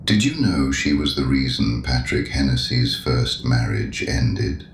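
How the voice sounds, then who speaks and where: A man in an audio drama playing a sinister, ancient, knowing penitent, courteous and sardonic, with a very deep gravelly voice. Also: expressive, full character voice. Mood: mocking, gossiping. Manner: deliberate, probing, sardonic, urbane. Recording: echoey room with audible background noise.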